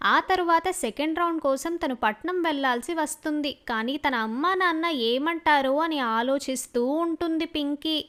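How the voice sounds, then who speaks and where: Woman storyteller reading a scripted tale, pretty high voice. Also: normal speed, few pauses, fairly bright tone. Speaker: narrator.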